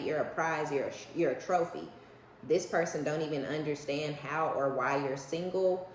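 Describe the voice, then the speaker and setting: A woman with a low voice and an American accent hosts an informal tarot reading. She is blunt and sassy, leans on slang and swearing, and tags her points with little questions. The room is echoey, with faint noise behind her. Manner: often seeks agreement with short tag questions. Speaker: tarot reader, online host. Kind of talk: casual, unscripted tarot reading addressed to viewers.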